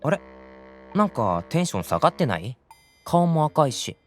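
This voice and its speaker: A man's voice: on the high side, very animated, moderate pace. A man playing a nonchalant, teasing young demon brother in an anime-style audio drama, offhand and bluntly matter-of-fact. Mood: puzzled.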